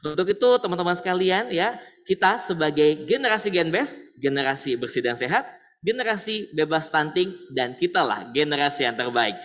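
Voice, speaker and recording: A man's voice: fairly high pitch, lively intonation, brisk, fairly bright tone. Male event MC, casual and chatty, recorded with long room reverb. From Jakarta, Indonesia. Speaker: talk show host. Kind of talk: casual event hosting to an audience. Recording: roomy hall echo, distant mic, noisy.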